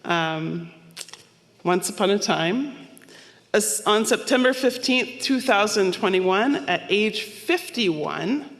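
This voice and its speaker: A woman's voice: mid-range voice, expressive, slow, a bit bright. A woman minister giving a sermon, telling stories in a conversational way with small asides. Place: church sanctuary. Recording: long hall reverb.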